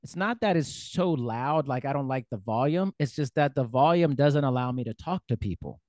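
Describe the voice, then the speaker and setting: A man hosting a podcast, talking in a relaxed, rambling way with frequent fillers. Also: medium pitch, normal speed, no echo, studio quality. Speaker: podcast host. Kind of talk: casual podcast conversation.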